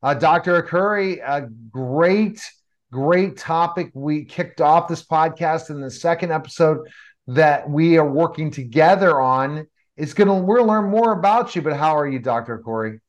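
A man's voice: fairly high pitch, normal speed, dull audio. A man introducing a podcast and interviewing its host in a friendly way, often stopping and restarting his sentences.

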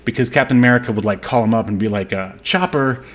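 Male podcast co-host, mid-pitched, casual and jokey film banter with a readiness to laugh. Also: quick pace. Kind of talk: casual movie review banter.

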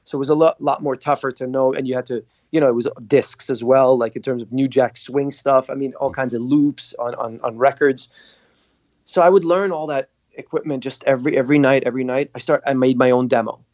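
A man's voice: mid-range voice, brisk, no echo, muffled recording. Storytelling male guest from Lebanon. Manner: animated storyteller, acts out quotes. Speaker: guest, singer and producer. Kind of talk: casual interview storytelling.

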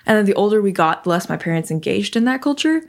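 A woman's voice: medium pitch, lively intonation, fast talker, vocal fry. A young woman from the Seattle area, talking reflectively as an interview guest, with frequent ums. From Seattle area, United States. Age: young adult. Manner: reflective, lots of ums and soft laughs. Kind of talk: casual conversation.

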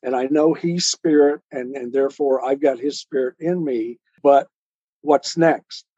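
A man speaking as an interview guest, explaining his ideas in a measured, earnest way and repeating phrases for emphasis. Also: medium pitch, mellow, breathy edge, no room sound, muffled recording. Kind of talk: earnest explanatory interview talk on self-help and faith.

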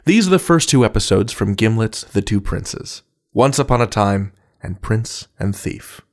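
A man's voice: lowish voice, lively intonation. Male podcast host, literary and rhetorical. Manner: literary, rhetorical, deliberate phrasing. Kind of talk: scripted podcast introduction.

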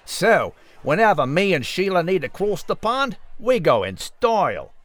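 A man's voice: sits high, very animated, unhurried, a bit bright, dry recording, full character voice. A man voicing a breezy, matey male kangaroo with an Australian accent in a comic audio drama. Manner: colloquial, matey.

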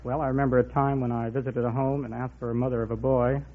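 Quick-talking male contestant on old radio. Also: mid-range voice, level tone. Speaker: quiz contestant, school attendance officer. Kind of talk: earnest interview answers. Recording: narrow, very noisy old radio recording.

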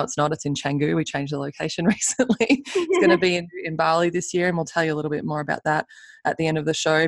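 A woman hosting a podcast, speaking earnestly and reflectively, a little croaky in the early morning. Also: sits low. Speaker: podcast host. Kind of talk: scripted intro then casual chat.

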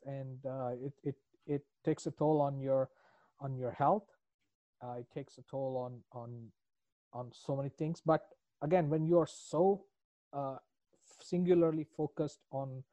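A man's voice: mid-range voice, warm tone, dry recording. A middle-aged man with a foreign accent in English, a business coach answering podcast interview questions in an earnest, reflective, rambling way.

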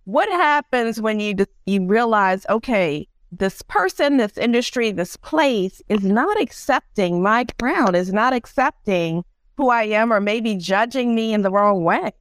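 Slow-talking woman host, lively pitch. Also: no echo. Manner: warm and encouraging, laughs easily, drawn-out questions. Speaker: podcast host. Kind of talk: casual interview talk.

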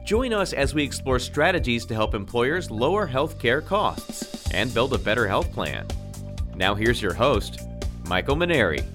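A man reads a polished, scripted show opener in announcer style. His pitch moves in a lively way. A faint music bed plays beneath him. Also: normal speed. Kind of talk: scripted intro.

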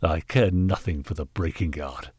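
Man acting a pompous, husky Victorian knight, contemptuous. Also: very deep voice, moderate pace, darkish tone, no echo, clean studio sound, pitched below the performer's own voice. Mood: contemptuous, angry. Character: haughty, callous, bullying Victorian gentleman knight, angry benefactor. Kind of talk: dramatised audiobook character reading.

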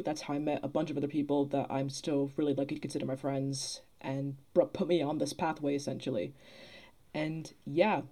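A young woman talks as a guest in a relaxed interview. Her voice is soft-edged and mellow, and she explains things at length in an articulate, reflective way. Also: deep voice. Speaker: podcast guest, theatre producer and actor. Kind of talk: casual interview chat.

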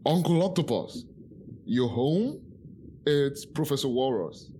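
A man's voice: mid-range voice, animated, slow pace, dark tone, a little hiss or hum. A man playing an earnest, officious walrus professor in a children's radio play, speaking formally. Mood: calling out.

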